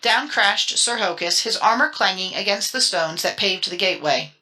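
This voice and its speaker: Woman doing dramatic audiobook narration, bright forward voice, storybook style. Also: mid-range voice, normal speed, poor audio.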